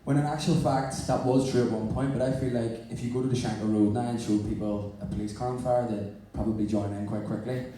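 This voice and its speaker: A man's voice: mid-range voice, steady tone, quick pace, hardly any pauses, dark tone, slight background noise. A man with a Northern Irish accent talking wryly and sardonically as a guest on a live onstage panel. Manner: wry, sardonic, chatty. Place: large indoor venue before an audience. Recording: echoey hall from far off.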